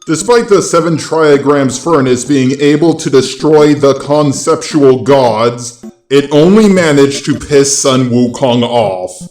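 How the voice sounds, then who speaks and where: A man's voice: mid-range voice, slow pace, a little mellow, echoey room. A male synthetic robot voice delivering scripted video narration in a matter-of-fact way. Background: background music.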